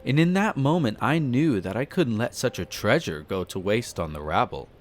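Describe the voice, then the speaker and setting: Man acting suave vampire noble, richly expressive. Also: mid-range voice, normal speed, soft background music, close to an everyday voice. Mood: relishing, smug. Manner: theatrical, leisurely storytelling with teasing, haughty, formal wording. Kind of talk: roleplay audio drama monologue addressed to the listener.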